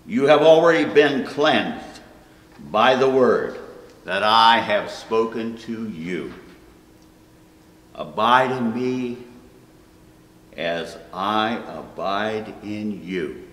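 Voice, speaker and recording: A man in his seventies reading scripture solemnly, with deliberate, declamatory phrasing. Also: fairly low pitch, animated, a bit bright. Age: 70s. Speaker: scripture reader. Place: church sanctuary at a wooden pulpit, indoors. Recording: echoey hall with long reverb.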